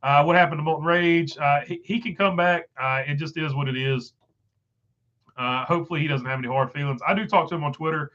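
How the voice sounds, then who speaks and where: A man's voice: fairly high pitch, a little fast, fairly bright tone, highs cut off. A stuffed-up man with a failing voice, hosting a casual livestream and rambling through viewer comments with many fillers. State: stuffed up, voice going. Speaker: livestream host reading chat. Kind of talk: casual live chat.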